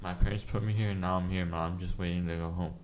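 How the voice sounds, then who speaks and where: A young man giving sullen, curt answers in a monotone. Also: sits low, fast talker, lo-fi sound, heavy background noise.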